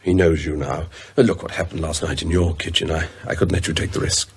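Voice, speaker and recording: A man playing a pedantic, grave scholar in a radio drama, speaking in a husky, hesitant, deliberate way. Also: extremely low pitch, fairly even intonation, exaggerated voice. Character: pedantic, grave male scholar delivering grim findings.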